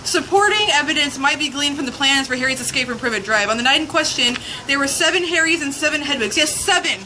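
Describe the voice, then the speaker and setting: A woman's voice: high voice, lively intonation, fast talker. A woman giving a playful, mock-serious dramatic reading of a humorous essay. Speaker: reader of a fan zine. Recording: noisy outdoor urban recording with traffic.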